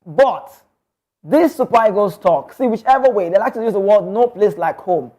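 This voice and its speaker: Fired-up Nigerian man with a high voice. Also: lively intonation, moderate pace, darkish tone. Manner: passionate, emphatic, rhetorical questions. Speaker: sports talk host. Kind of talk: opinionated football commentary.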